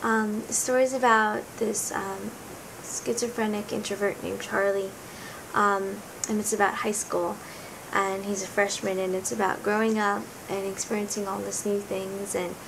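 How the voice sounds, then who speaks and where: A sixteen-year-old girl with a raspy voice gives an earnest, rambling book review, often searching for words. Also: flat delivery, moderate pace. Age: teens. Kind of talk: casual book review vlog. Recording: noticeable rumbling background noise.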